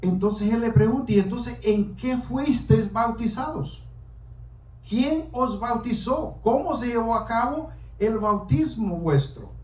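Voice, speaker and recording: A man preaching to a small congregation in a halting, deliberate way, explaining scripture as he goes. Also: extremely high pitch, expressive, darkish tone. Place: small church during a service. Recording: roomy, echoing hall, distant microphone.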